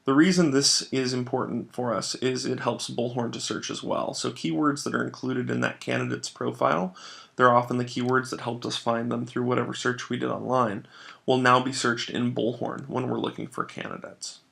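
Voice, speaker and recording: Man with very creaky voice, walking through steps. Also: medium pitch, steady tone, moderate pace, a bit bright. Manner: explanatory, step-by-step, informal. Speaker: software trainer. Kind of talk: screen-share tutorial narration, instructional.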